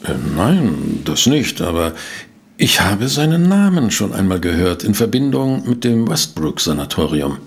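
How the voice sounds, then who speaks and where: Low, gravelly, courteous detective. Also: male voice, sing-song, a little slow, heavily acted. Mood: intrigued. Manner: courteous, pensive, hesitant. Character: polite, inquisitive male private detective, narrating his case. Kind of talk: German audio drama acting with first-person narration.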